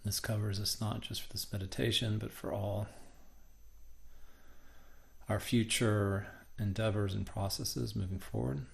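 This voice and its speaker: Male meditation guide: low, gravelly fry, gentle delivery with measured pauses. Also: fairly even intonation. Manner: gentle, unhurried phrasing with frequent pauses.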